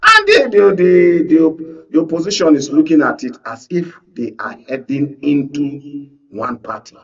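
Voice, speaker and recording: A man's voice: fairly high pitch, sing-song, a little slow, a bit bright, breathy edge, far from the mic, muffled recording. A Nigerian man in a political panel discussion, speaking insistently and repeating phrases to hold the floor. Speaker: panel guest, media analyst. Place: radio and TV studio.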